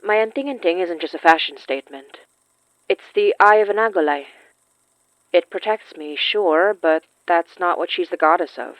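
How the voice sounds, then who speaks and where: Woman playing a low-voiced, wry young magic user. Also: normal speed, no room sound, lightly acted. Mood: assured. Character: young magic-wielding woman, polite, wry, quietly determined. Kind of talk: naturalistic horror audio drama acting. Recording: slightly dull, dry studio sound.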